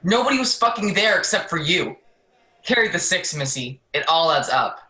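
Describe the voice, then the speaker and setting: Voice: mid-range voice, big pitch swings, moderate pace, bright, forceful tone, poor audio. An actor playing a scornful, foul-mouthed jail officer in an audio play, brusque, sarcastic and bullying.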